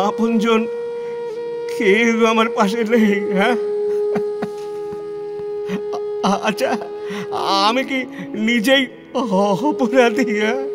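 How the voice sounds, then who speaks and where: A man performs an elderly Bengali scholar-reformer for an audio drama, lonely, compassionate and self-questioning. The voice sits high for a man and moves slowly, with emotive, halting phrasing over background music. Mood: lonely, earnest, then anguished, tearful. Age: older. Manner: slow, emotive, halting with pauses. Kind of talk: theatrical audio-play monologue and dialogue.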